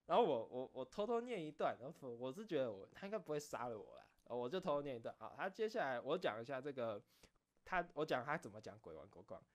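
A man talks in a loose, casual storytelling style. His mid-range voice moves up and down in a very animated way. He rambles along, repeating phrases to drive things home. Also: medium pitch, fast. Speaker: online streamer and game content creator. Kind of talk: casual late-night chat, personal storytelling.

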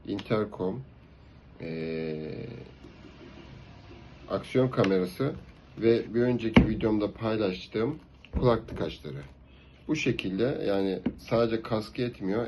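A man's voice: lowish voice, a little mellow, slight background noise. A man talking casually and explaining gear to camera in a conversational vlog style. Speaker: motorcycle vlogger.

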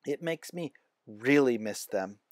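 Man as young girl, tender, earnest, slow-paced, children's storybook, close dry sound. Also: medium pitch, animated, no room sound. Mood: homesick. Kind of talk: children's storybook read-aloud.

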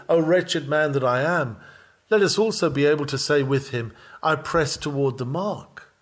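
A man reading a written sermon aloud in an earnest, emphatic and formal preaching manner. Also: fairly high pitch. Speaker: reader of a sermon text.

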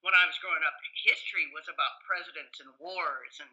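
A woman's voice: deep voice, brisk, pushed and bright, lo-fi sound. An American woman around sixty, a professor chatting enthusiastically and telling anecdotes as an interview guest. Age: 50s to 60s. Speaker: history professor and author, podcast guest.

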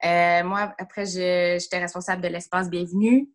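Low-toned Quebec French woman, friendly. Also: flat delivery, moderate pace. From Sherbrooke, Quebec. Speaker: drama teacher. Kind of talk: casual video-call interview. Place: home room with bookshelf. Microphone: laptop camera on a video call.

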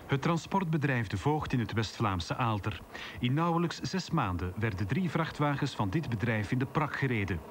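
A man's voice: medium pitch, expressive, moderate pace, background noise. Flemish male news narrator. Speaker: reporter.

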